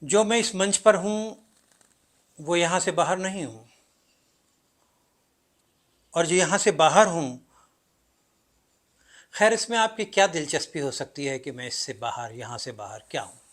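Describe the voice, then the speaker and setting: A male actor performs an enigmatic everyman narrator of a stage play, a man unsure of who he is. His voice sits a little high for a man. He addresses the audience in a theatrical monologue, with deliberate pauses and emphatic swings. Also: lively intonation, fairly bright tone. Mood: musing, uncertain.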